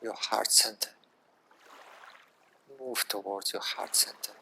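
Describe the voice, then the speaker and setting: A man leads a guided relaxation, speaking very slowly in a hushed, soothing way. His low voice has a strongly crisp, bright edge. He leaves long gaps between short instructions, with clear watery ambient noise behind him. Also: steady tone, poor audio. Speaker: yoga nidra guide.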